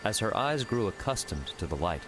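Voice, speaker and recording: Male storyteller for fiction audiobook, deep register, scripted dramatic narration. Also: big pitch swings, brisk, music in the background.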